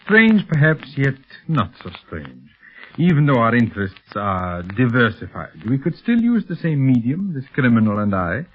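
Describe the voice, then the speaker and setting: An actor playing a suave, secretly criminal scientist with a formal, condescending manner in a 1930s radio serial. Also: male voice, medium pitch, big pitch swings, normal speed. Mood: sly, guarded. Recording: crackly, narrow-band 1930s radio recording.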